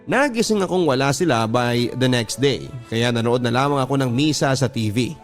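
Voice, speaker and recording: A man's voice: medium pitch, expressive, normal speed, faint music behind. A man with a Filipino accent reading a first-person story as a radio drama narrator, in a sentimental manner.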